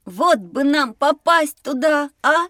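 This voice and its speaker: A woman's voice: high voice, lively intonation, slow, bright, forceful tone, no echo, clean studio sound, exaggerated voice. A woman voicing a cheeky young schoolboy who dislikes studying, childlike and grumbling, in a children's audio drama. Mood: curious, boastful.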